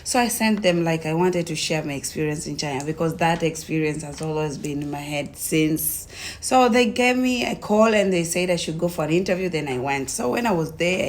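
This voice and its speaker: A young woman with a Tanzanian accent tells her own story casually, in an animated way, acting out conversations as she goes. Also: low voice, normal speed, a little hiss or hum. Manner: animated retelling, acts out quoted conversations.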